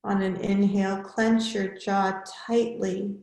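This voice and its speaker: Woman yoga teacher doing guided relaxation, really slow n measured, short phrases w pauses, echoey room sound. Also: mid-range voice, little pitch movement, darkish tone.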